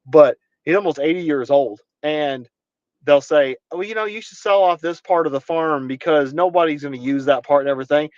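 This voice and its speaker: A man's voice: a little high, a little fast, no echo, muffled recording. A young man from Kentucky talking earnestly as a podcast guest, often restarting his sentences as he rambles. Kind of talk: casual conversation.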